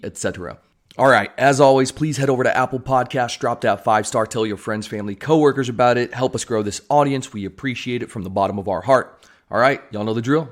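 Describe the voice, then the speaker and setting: A man hosts a solo podcast in an informal, chatty way. His voice sits at an average pitch, and he speaks with enthusiasm, addressing listeners directly like friends. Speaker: podcast host. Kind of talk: casual solo podcast chat about pop culture.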